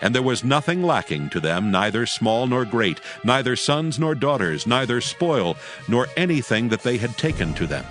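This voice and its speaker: A man narrating scripture as a scripted audio drama, with solemn, measured storytelling. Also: mid-range voice, big pitch swings, fairly bright tone. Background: music bed.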